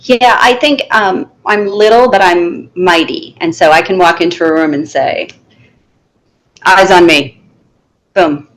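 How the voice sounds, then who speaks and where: Firm, lively low-voiced woman teacher. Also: a little slow, low-quality recording. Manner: firm, animated, acts out classroom lines. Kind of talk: conversational interview.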